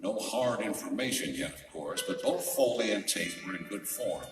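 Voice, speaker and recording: Hardboiled male gumshoe played by an actor, noir radio drama: low, forceful, wry and world-weary, in a big echoey hall. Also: animated, moderate pace, lightly acted. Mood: brooding, wry. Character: hardboiled wisecracking male private detective. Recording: echoey hall, distant mic.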